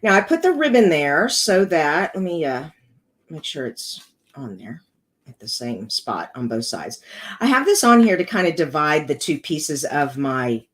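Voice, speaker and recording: A woman with a rough, congested voice hosting a casual card-making tutorial, chatting her way through each step as she works. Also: low-pitched, very animated, a little slow.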